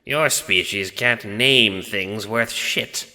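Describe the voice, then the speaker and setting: A man voicing a huge skeletal Death in an audio drama, gruff and growling, bored, blunt and crude. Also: lowish voice, takes it slowly, sharp tone, long reverb tail, voice pushed up, exaggerated voice. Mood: distasteful, erratic. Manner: blunt, dismissive, swearing. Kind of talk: audio drama character acting.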